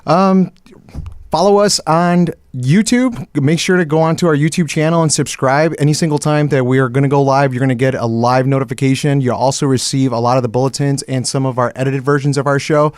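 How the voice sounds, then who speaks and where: Co-host guy talking fast, laughs a lot, close dry mic. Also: medium pitch, steady tone, smooth, no echo. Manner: quick, laughing, easygoing. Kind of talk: casual chat.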